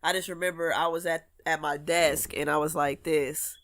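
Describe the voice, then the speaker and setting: A woman's voice: sits low, normal speed. A young woman with a stuffy nose from allergies chatting on a podcast, acting out her own reactions as she tells stories. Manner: animated storyteller who acts out her own reactions. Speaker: guest artist. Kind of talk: casual podcast interview.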